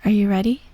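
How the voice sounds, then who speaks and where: A woman playing an imperious, self-righteous empress who dotes on her child, speaking softly and formally in a bedtime ASMR roleplay. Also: medium pitch, slightly rough, no echo. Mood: fond, composed. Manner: soft, measured, formal, gently condescending. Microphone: close, dry microphone.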